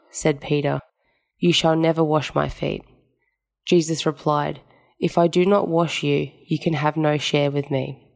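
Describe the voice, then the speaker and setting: Low, creaky-voiced woman reading scripture. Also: darkish tone. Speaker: scripture reader. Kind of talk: solemn scripted Gospel reading.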